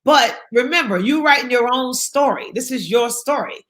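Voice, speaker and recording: Bright, emphatic woman speaker. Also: high-pitched, lively intonation, normal speed. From South Carolina. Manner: emphatic, repeats key phrases. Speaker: guest speaker. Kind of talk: motivational interview talk.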